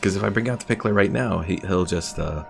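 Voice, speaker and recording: A man's voice: deep voice, fast, gravelly. A man giving casual livestream commentary on a game and talking with his chat as host. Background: game music.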